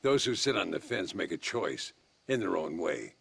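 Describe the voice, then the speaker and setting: An actor playing a proud, righteous old gunslinger in a western video game, with a gravelly, theatrical way of speaking. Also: male voice, low-pitched, big pitch swings, moderate pace, a bit bright, heavily acted. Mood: defiant, proud. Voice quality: gravelly, husky.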